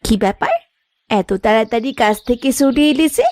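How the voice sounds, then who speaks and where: Lively, high-voiced young village wife. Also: female voice, normal speed, no room sound, studio quality. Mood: helpful, earnest. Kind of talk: Bengali cartoon moral-tale acting.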